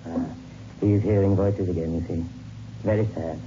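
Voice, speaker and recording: A calm, patronising male doctor played by an actor in an old radio drama. His voice is low and very mellow, measured and soothing, on a muffled recording. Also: slight background noise, lightly acted. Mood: soothing, grave. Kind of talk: 1960s radio drama acting.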